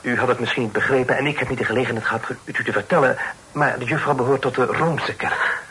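An actor as a bright-voiced, tactful nephew. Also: male voice, medium pitch, fairly even intonation, fast, natural voice, rough recording. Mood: awkward, apologetic. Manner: deferential, diplomatic, formal. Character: tactful, polite nephew soothing his pious aunt. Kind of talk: Dutch radio play acting. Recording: hissy old radio play recording.

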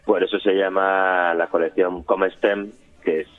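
Very deep male voice on a tinny phone. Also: level tone, unhurried. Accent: Spain. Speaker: radio interview guest, community radio member. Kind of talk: conversational interview answers. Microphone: phone call. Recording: tinny phone line with music behind.

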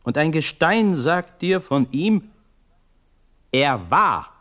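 An actor playing a desperate young condemned prince in a verse radio drama, impassioned, swinging from hushed tones to loud outbursts. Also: male voice, on the high side, sing-song, slow pace, full character voice. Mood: haunted, anguished. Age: young adult.

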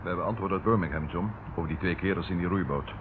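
An actor playing an animated, dryly ironic police detective in an old radio drama. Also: male voice, sits low, fast, close to an everyday voice. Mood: matter-of-fact. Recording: muffled, noisy old radio recording.

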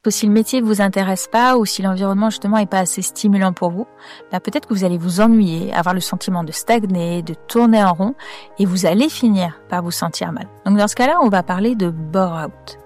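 A woman's voice: mid-range voice, fairly quick, a little mellow, soft background music. A woman in a played clip explaining a work topic plainly, with a few fillers. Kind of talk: explanatory talk.

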